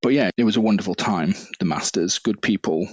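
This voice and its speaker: A man's voice: fairly low pitch, brisk, breathy edge, no echo, highs cut off. A man from Greater Manchester with a northern English accent, reflecting earnestly as a podcast guest with many fillers and restarts. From Greater Manchester, England. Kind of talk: casual conversational interview.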